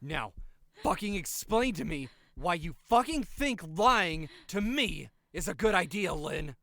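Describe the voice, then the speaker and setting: A man's voice: high-pitched, sing-song, unhurried, gravelly, fairly bright tone, no room sound, studio quality, full character voice. A man acting a furious, exhausted older brother in an audio drama, swearing and accusing explosively. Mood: accusing rage. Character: furious, exhausted older brother who raised his sister.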